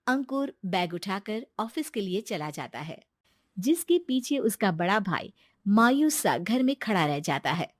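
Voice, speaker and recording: A woman's voice: medium pitch, sing-song, a little slow, dry recording, studio quality. A woman narrator reading a scripted cartoon moral story.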